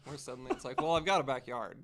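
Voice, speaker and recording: A man's voice: medium pitch, fast talker, a bit bright. A man chatting as a podcast guest, making dry, wry jokes in casual banter.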